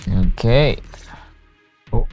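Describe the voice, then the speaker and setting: A man doing casual game stream commentary, muttering short reactions and haltingly reading on-screen text aloud. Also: mid-range voice, darkish tone. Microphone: streamer microphone over game audio. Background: faint game music.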